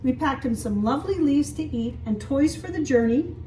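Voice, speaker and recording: Woman, children's storyteller, expressive, explanatory asides and simple questions, slightly distant mic, faint noise. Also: high-pitched, normal speed. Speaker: children's story time teacher. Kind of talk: read-aloud picture book storytelling with asides to children. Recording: echoey room, distant mic, faint hiss.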